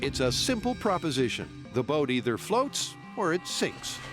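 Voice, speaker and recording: A man's voice: a little high, big pitch swings, moderate pace. A man reading scripted documentary narration in a wry, punny voice-over manner. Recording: voice-over with music behind.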